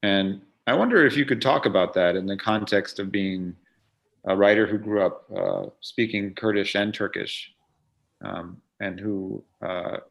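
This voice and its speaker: A man's voice: fairly low pitch, creaky. A man interviewing an author, asking long, winding questions with restarts.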